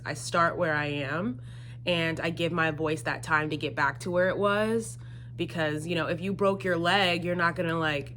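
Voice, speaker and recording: Young woman w low voice just chatting thru viewer questions, explains stuff casually, some humming noise behind her. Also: a bit bright. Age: 20s. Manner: conversational, explanatory. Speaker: singer-songwriter answering viewer questions. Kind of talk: casual solo Q&A chat to camera.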